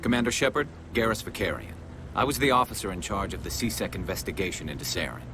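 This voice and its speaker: Alien cop, low and expressive, frustrated and driven, game cutscene over background noise. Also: male voice, fast talker. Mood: earnest, frustrated. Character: frustrated, determined male alien police investigator. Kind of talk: video game cutscene acting.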